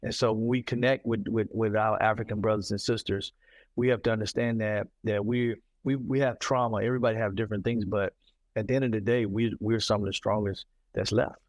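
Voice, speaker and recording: A man from Mississippi, telling stories in an animated casual conversation and often repeating himself. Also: fairly low pitch, a little fast, no echo. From Mississippi, United States. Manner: animated storyteller, repeats words, says "you know what I'm saying". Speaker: podcast host.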